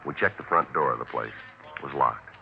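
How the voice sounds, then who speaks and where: An actor playing a businesslike, unflappable police detective sergeant in a 1950s radio procedural, terse and matter-of-fact. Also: male voice, very deep voice, a little fast, creaky. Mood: flat narration. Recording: noisy, dull 1950s radio recording.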